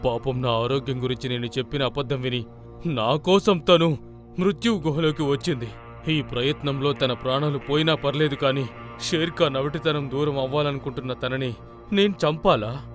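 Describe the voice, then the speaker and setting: Cartoon lion, male actor: medium pitch, remorseful, dramatic, sincere delivery. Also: moderate pace, soft background music, lightly acted. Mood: troubled. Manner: earnest, dramatic. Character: lame male lion, conflicted and remorseful, in a children's fable. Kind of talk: animated children's story acting.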